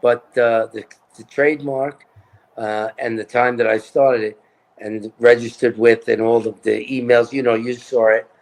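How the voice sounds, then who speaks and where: Emphatic man in mid pitch. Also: level tone, moderate pace, vocal fry, darkish tone. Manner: emphatic, repeats his points. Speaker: political candidate guest. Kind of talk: casual political interview.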